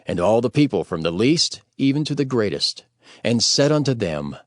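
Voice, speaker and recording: Expressive man narrating scripture. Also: medium pitch, normal speed, dry recording, clean studio sound. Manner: formal, solemn storytelling. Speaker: audio Bible narrator. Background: faint music.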